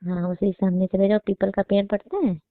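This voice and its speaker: A young woman talks casually as a caller. Her pitch is average for a woman. She comes through a narrow, dry telephone line. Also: level tone, a little fast, dry recording. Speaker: caller, parent seeking a tutor. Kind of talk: casual chat. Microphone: phone call. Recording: dry, slightly tinny phone call recording.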